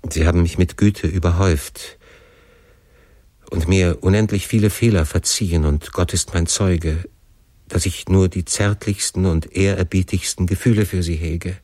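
A man's voice: deep voice, steady tone, normal speed, mellow, dry recording. A man narrator plays a passionate young son in a classic story. He implores with fervent emotion and open desperation. The recording is close and dry. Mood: trembling, deferential.

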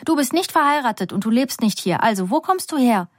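A curious, prying woman who sounds faintly reproachful, pitched well above plain speech, as a woman narrator puts her on. The audiobook sound is dry and close. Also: expressive, fairly quick, no room sound. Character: curious woman at mosque, more nosy than strict.

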